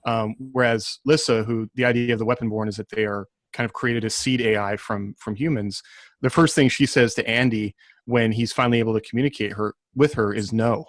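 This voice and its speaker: A man's voice: lowish voice, fairly even intonation, no echo. Reflective man talking through his thoughts, dry sound. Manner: reflective, halting, thinking aloud. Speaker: author and podcast panelist. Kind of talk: casual panel discussion of fiction.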